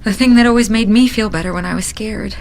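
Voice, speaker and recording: A woman's voice: medium pitch, quick pace, a little mellow, natural voice. An actress in an audio drama playing a haunted, zealous young woman on a mission, intense and solemn. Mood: anguished. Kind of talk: audio drama acting, confessional monologue.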